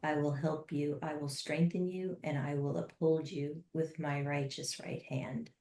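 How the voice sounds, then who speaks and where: A woman voicing a loving divine man who softly reassures a listener in a meditation. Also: deep voice, steady tone, measured pace. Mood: tender. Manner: tender and reassuring, softly spoken. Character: loving, gentle divine man comforting a child. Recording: roomy echo.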